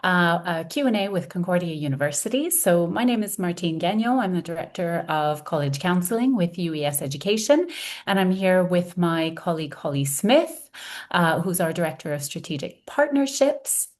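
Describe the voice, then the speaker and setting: A woman from Montreal, a college counseling director, hosting a webinar over a video call in a welcoming, measured way. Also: on the low side, lively intonation. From Montreal, Canada.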